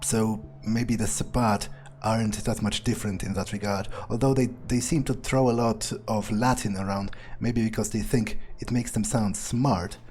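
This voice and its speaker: A man playing a cynical, foul-mouthed vampire in an improvised game roleplay, husky and halting, muttering scornfully. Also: on the low side, fairly even intonation, darkish tone, slight background noise, natural voice. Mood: brooding, dismissive. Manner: halting, muttering, profane, sardonic.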